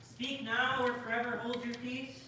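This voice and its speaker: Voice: medium pitch, level tone, moderate pace, lightly acted. An actor in a live staged reading playing a brash, bawdy joker in a theatre troupe who calls out mock-officially. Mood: playful, bawdy. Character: brash, bawdy, joking troupe member playing vote caller. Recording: echoey, noisy room from far off.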